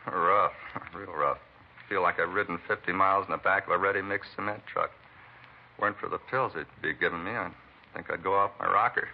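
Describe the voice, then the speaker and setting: Banged-up American trucker, low voice, quick folksy rambling, eccentric know-it-all, vintage radio drama, muffled recording. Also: male voice, fairly even intonation, creaky, slight background noise. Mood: pained, chatty. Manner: rambling, folksy, plain-spoken working man. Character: beaten truck driver, talkative, folksy, eccentric self-styled bump-reader. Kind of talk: 1940s-50s radio acting.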